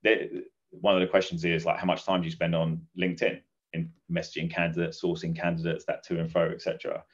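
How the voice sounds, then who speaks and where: Very deep, flat-toned man, muffled audio. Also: fast. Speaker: guest, recruitment business advisor. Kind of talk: conversational podcast interview answers.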